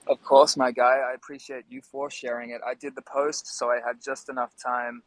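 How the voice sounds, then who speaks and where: A man's voice: medium pitch, level tone, normal speed, no room sound, low-quality recording. A man hosting a casual live discussion, talking in a friendly, appreciative way. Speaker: host.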